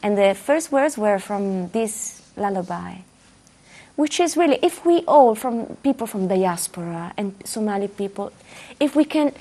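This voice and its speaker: Gravelly-voiced woman in a studio interview. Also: mid-range voice, expressive, a little slow, dark tone. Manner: thoughtful, repeats words while searching for phrasing. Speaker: musician guest. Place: dark television studio, seated.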